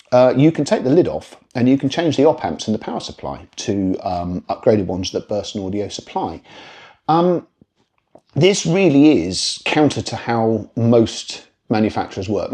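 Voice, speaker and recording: A man's voice: lowish voice, dark tone. A man presenting an informal product review, speaking in a wry, dryly humorous and opinionated way.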